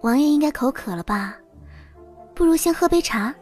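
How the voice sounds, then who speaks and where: Woman doing a demure young princess, really high soft voice, super polite and careful, music behind. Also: animated, moderate pace. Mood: meekly attentive. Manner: soft-spoken, deferential, careful. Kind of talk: audio drama acting.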